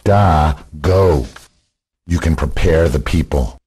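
A hard rebel leader, played by a man in a radio drama. The voice is extremely deep and husky, with solemn, grim declarations. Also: slow pace, warm tone, full character voice.

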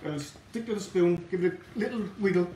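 A man's voice: high voice, brisk, warm tone, distant mic, a little hiss or hum. A man explaining a recipe as he mixes, practical and matter-of-fact. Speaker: guest baker. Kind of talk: explaining a recipe while working. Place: large home-style kitchen. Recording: echoey kitchen from off-mic.

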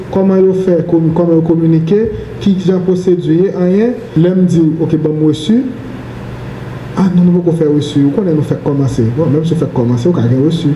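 A middle-aged man giving a business training seminar on a handheld mic, animated and often checking in with the room. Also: on the high side. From Haiti. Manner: animated, gestures while speaking, asks the room questions. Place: medium-sized indoor training room. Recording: echoey room, faint background noise.

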